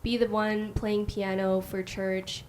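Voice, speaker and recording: A young woman hosting a casual chat, soft-spoken and asking her guests questions; she grew up speaking Mandarin at home. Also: medium pitch, flat delivery, slow, a little hiss or hum, low-quality recording. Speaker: host.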